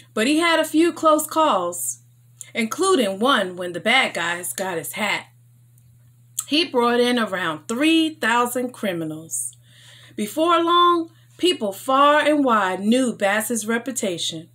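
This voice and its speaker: Slow, very lively high-voiced woman storyteller. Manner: enthusiastic, expressive, emphatic. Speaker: host of a children's story time show. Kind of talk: read-aloud storytelling for children.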